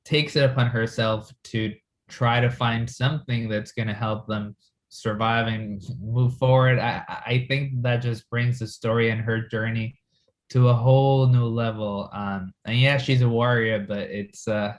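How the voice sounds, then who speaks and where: A man being interviewed as a filmmaker, talking casually and reflectively, with halting phrasing. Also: mid-range voice, steady tone. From grew up in the West.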